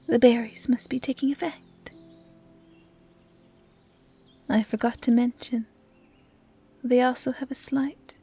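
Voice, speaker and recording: A woman voicing a gentle, curious female dryad who guards the woods, speaking softly and often in a whisper in a roleplay audio drama. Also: on the high side, husky. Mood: soothing, gentle. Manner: soft, often whispery, naive and measured. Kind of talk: roleplay audio drama, soft intimate acting.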